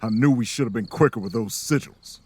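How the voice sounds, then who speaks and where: A man's voice: medium pitch, a little fast, slight background noise, close to an everyday voice. A man playing an anxious, practical companion on a magical journey in a naturalistic audio drama.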